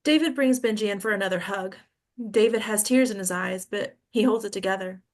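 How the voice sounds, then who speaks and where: A woman's voice: mid-range voice, brisk. A woman hosting a table read, reading out screenplay stage directions as scripted narration.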